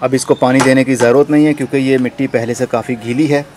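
A man's voice: mid-range voice, steady tone, quick pace. Man giving a gardening tutorial, outdoor noise. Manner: explanatory, instructing the viewer step by step. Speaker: gardening channel host. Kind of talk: informal how-to gardening tutorial narration.

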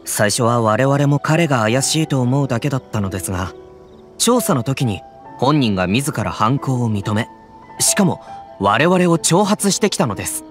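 An actor playing a stern, businesslike official in a video game, speaking formally and with indignation. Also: male voice, medium pitch, natural voice. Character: stern official hunting a fugitive.

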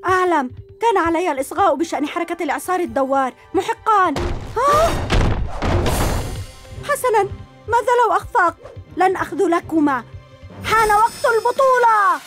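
A woman's voice: very high voice, a little fast, long pauses, over music. Woman playing an eager young superhero boy. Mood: determined. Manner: eager, energetic, shouting hero calls. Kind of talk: cartoon dubbing.

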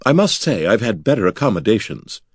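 Jittery nobleman, quavery timid voice, bit higher than the narrator, man doing it. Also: sing-song, brisk, husky, no echo, clean studio sound, full character voice. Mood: nervous. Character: nervous young male nobleman.

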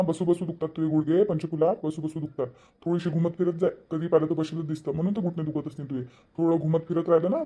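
A man's voice: on the high side, phone-quality sound, natural voice. A man playing an older farmer husband in a cartoon, giving homely, repetitive advice with a Varhadi accent. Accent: Varhadi, Vidarbha. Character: older hard-working farmer husband, matter-of-fact adviser.

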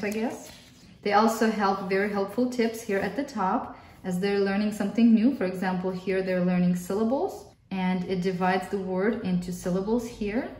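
A woman's voice: medium pitch, darkish tone. Russian-accented mother in an echoey room. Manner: friendly, explanatory, repeats words for emphasis. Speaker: homeschooling mother. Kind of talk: casual workbook flip-through review. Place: indoor room at a table. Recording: roomy, distant mic, faint hiss.

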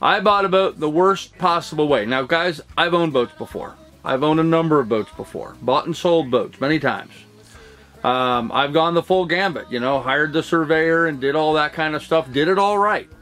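A middle-aged man talks straight to his camera from a cluttered home office, his voice sitting a little high for a man. He rambles, full of "um"s and restarts, in an easy conversational way. Soft music plays faintly underneath. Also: normal speed. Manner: rambling, with many fillers and restarts. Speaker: vlogger, real estate broker. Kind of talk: casual talking-head vlog.

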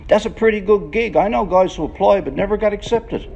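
A man's voice: high voice, brisk, gravelly, darkish tone, lo-fi sound, slight background noise. A man reading aloud the part of a frank, lovelorn biker in a blunt, colloquial, emphatic manner. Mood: probing. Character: tall lanky lovelorn biker, frank and questioning.

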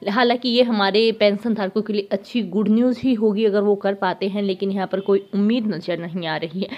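A woman's voice: medium pitch, steady tone, brisk, highs cut off. A woman hosting a YouTube news channel, conversationally with some hesitations and restarts.

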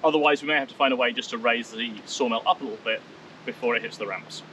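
A man in his thirties, a vlogger, talking outdoors in a wooded clearing and explaining things step by step. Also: medium pitch, quick pace, background noise. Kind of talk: casual explainer vlog.

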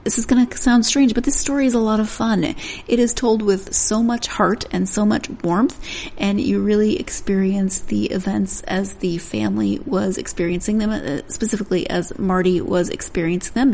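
A woman hosts a book podcast in a chatty, self-correcting way. Her voice has a gravelly edge. It sits at an ordinary middle pitch. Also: a little mellow. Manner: chatty, self-correcting, laughs at own slips. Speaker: podcast host. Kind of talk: solo intro to a book interview.

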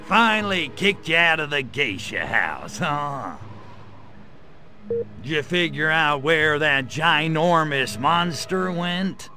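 A man's voice: fairly high pitch, pushed and bright, full character voice. A man voicing a small, wisecracking grey alien invader in a video game, brash and sardonic, with an American accent. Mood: teasing. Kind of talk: video game cutscene acting.

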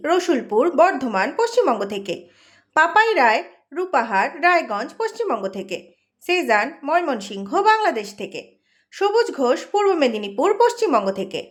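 A woman announcer reading a scripted quiz announcement for viewers in a roomy voice-over. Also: sits high.

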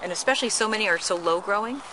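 A woman leads a casual garden tour, explaining plants with real enthusiasm. Her voice sits at an ordinary middle pitch. She is picked up outdoors on a handheld camera mic with clear background noise. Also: rapid-fire. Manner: informative, enthusiastic about plants. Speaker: nursery guide. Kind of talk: casual explanatory walk-and-talk. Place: outdoor nursery display garden.

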